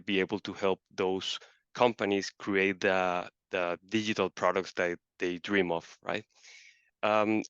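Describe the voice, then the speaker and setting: Deep-voiced man from Colombia, hesitant. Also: fairly bright tone, clean tone, no room sound, muffled recording. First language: Spanish. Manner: hesitant, many fillers and restarts. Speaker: guest, marketing manager. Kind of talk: casual interview answers.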